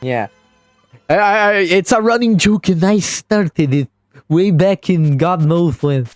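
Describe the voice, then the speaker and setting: A man's voice: high-pitched, animated, a little slow, no echo, faint music behind. A man giving casual live commentary on a game replay stream, chatting with viewers and laughing often.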